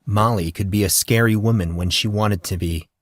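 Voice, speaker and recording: Man, low pitch, scripted fiction narration, audiobook reader, dry studio-like sound. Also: steady tone, normal speed, dry recording.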